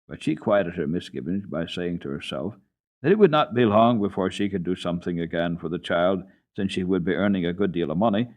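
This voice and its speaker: A man giving an expressive, dramatic radio reading of a children's classic, with deliberate phrasing. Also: sits low. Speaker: radio storyteller. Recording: dull lo-fi old radio recording.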